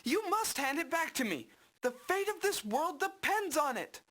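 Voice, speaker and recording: A woman's voice: sits high, very animated, normal speed, a bit bright. A woman voicing a small, earnest young game hero who speaks formally and politely. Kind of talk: 1990s game voice acting.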